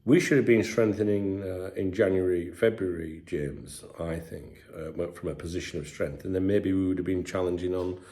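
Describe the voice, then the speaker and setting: A middle-aged English man with a stuffy, congested voice and a sore throat, chatting casually as a football channel host and often clearing his throat. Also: sits low, normal speed, few pauses, dark tone. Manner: chatty, frequent throat clearing, filler-heavy. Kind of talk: casual commentary to camera.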